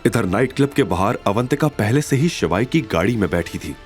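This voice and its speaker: A man's voice: on the low side, expressive, fairly quick, faint music behind. A man delivering scripted, dramatic narration for an audio story series.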